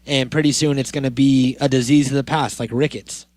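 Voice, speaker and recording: Podcast cohost dude, rambly casual chat, mid voice, dry close sound. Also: male voice, mid-range voice, steady tone, a little fast, darkish tone, no room sound. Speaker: podcast co-host.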